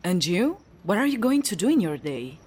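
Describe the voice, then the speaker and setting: A woman acting an easygoing character in casual, unhurried audio drama chat. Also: on the low side, sing-song, darkish tone, slight background noise. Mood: casual curiosity. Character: easygoing woman idly chatting about last days.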